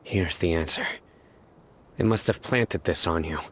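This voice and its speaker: A man playing a stern, composed young lawman in a video game, speaking in a serious, measured, instructive way. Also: sits low, a bit bright, a little hiss or hum. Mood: grave, alert. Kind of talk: video game character acting.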